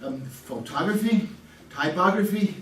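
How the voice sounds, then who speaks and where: A man acting as an earnest, dull but eager married contestant in an old-time radio comedy. His voice is high for a man, repeats itself hesitantly, and sounds distant in an echoey room. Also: expressive, unhurried, fairly bright tone. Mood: earnest, proud. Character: eager, earnest, tedious husband on quiz show. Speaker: game show contestant. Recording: echoey, distant mic, faint noise.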